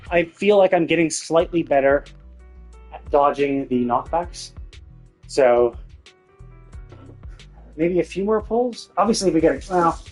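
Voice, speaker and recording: A man's voice: a little high, a little mellow. A man streaming video games and chatting casually, thinking aloud in a rambling way. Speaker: video game livestreamer.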